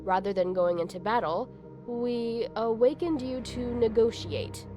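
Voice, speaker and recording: A woman's voice: on the high side, a little slow, music in the background, natural voice. A woman playing a polite, composed android overseer in a visual novel game, speaking formally.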